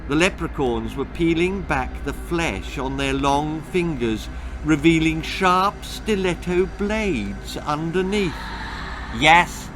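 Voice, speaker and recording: A man's voice: a little high, lively intonation, slow pace, over music. A man narrating a children's story in a dramatic, scripted storytelling style. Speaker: author reading his own story.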